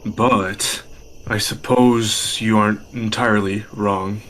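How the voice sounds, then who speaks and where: A man playing a reluctant, skeptical young teammate in a webtoon fan dub, speaking in a halting, wary way. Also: lowish voice, fairly even intonation, a bit bright, a little hiss or hum. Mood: grudging. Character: wary, reluctant young male teammate who argues back.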